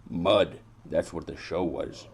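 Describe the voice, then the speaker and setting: An actor playing a world-weary private detective in noir radio drama style, gruff and sardonic, talking in clipped tough-guy slang. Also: low voice, fairly even intonation, normal speed, darkish tone. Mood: gruff, fed up.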